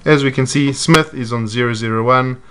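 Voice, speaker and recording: A man's voice: medium pitch, moderate pace, fairly bright tone, smooth. Methodical man explaining device setup. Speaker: product demonstrator. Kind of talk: step-by-step instructional voice-over. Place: indoors at a table.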